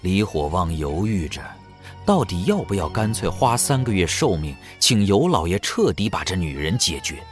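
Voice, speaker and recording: A man's voice: deep voice, sing-song, normal speed, soft background music. A man narrating an audio drama in a suspenseful, dramatic scripted storytelling style.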